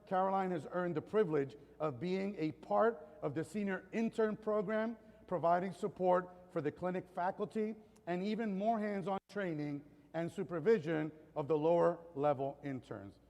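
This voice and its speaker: High-voiced man reading an introduction. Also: darkish tone. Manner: measured reading with light joking asides. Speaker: faculty member introducing a graduate. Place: ceremony hall. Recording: long hall reverb.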